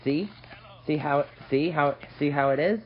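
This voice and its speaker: Man giving a halting, lo-fi tutorial. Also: a little high. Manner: halting, repeats phrases. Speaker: host of a hobby tutorial. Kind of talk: casual screen-recorded app tutorial. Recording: dull lo-fi audio with noise.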